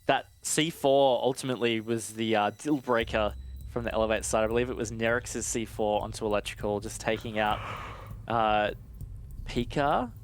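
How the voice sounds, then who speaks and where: A man giving measured, analytical live commentary on an esports match. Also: on the low side, fairly even intonation, slight background noise.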